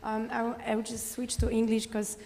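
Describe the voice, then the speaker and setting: Higher-voiced woman in a reverberant hall. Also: monotone, a little fast, warm tone, slight background noise. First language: Polish. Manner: direct, insistent, many hesitations and fillers. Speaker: audience member, philosopher. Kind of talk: conference floor remarks. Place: conference hall.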